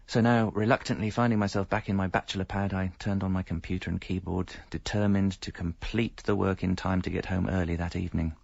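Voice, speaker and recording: A man's voice: on the low side, vocal fry, no echo. An actor playing a hapless, evasive middle-aged English husband and father, narrating a radio comedy in a wry, self-deprecating way. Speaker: narrator and lead character. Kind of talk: radio comedy monologue and dialogue. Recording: slightly dull audio, music behind.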